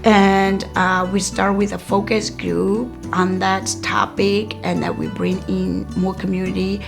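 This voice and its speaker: A woman whose first language is Karenni, originally from Myanmar, answering interview questions as a community health worker. Her mid-pitched voice carries a noticeable gravelly fry, and she pauses often with "um" and "like". Soft music plays faintly beneath her. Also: level tone. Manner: measured, with frequent "um" and "like" pauses.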